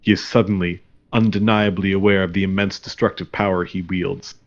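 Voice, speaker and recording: A man reading scripted stage directions as a deadpan narrator. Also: sits low, brisk, lo-fi sound.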